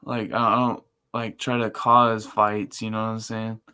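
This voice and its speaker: A man with a monotone, chill voice, rambling casually and slangily as a live streamer. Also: on the low side, measured pace, smooth. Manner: laid-back, chill. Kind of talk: casual livestream chat.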